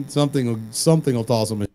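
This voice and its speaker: A man co-hosting a casual show, joking and swearing freely in an animated, streetwise way. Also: medium pitch, quick pace. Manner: animated, profane, streetwise ribbing. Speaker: co-host.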